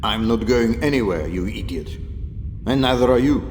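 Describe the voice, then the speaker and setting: An actor playing a sardonic, world-weary male arms dealer in a video game, with wry, deliberate, theatrical phrasing. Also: medium pitch, animated, darkish tone, slight background noise, natural voice. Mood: defiant, grim. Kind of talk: video game cutscene acting.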